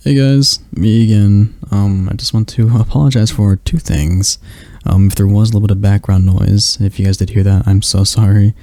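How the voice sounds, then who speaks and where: A man's voice: lowish voice, fairly even intonation, normal speed, hardly any pauses, warm tone, no echo. A man signing off his ASMR video in a casual, hesitant, apologetic way with many fillers. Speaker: ASMR channel creator. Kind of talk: casual outro chat.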